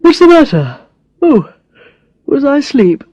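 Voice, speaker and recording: Woman reader doing a tired, kindly young boss: low, gentle, matter-of-fact, dry close read. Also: lively intonation, normal speed, dry recording, lo-fi sound, close to an everyday voice.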